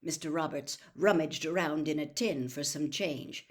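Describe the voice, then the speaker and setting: A woman narrating a novel as a storybook reader, in a measured, careful and expressive storytelling manner. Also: sits low. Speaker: audiobook reader.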